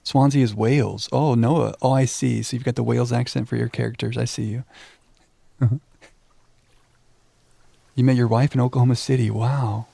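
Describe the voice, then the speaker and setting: A man streaming a video game in a relaxed voice with a creaky edge. He talks casually to his viewers and reads text aloud, close and dry on the mic, poking fun at himself as he goes. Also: medium pitch, moderate pace, long pauses, no room sound. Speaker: livestream game host.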